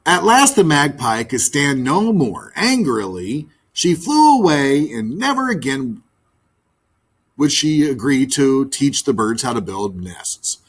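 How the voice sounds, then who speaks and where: A man narrating a children's book in an expressive read-aloud style. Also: mid-range voice, takes it slowly, slightly rough. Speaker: storybook reader, teacher.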